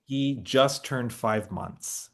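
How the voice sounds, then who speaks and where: A man's voice: mid-range voice, drawn-out words, gravelly, a bit bright. A young American man chats casually as a podcast host. He sounds like an eager fan, reacting readily to what he hears and speaking in an informal, conversational way. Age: young adult. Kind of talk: casual chat.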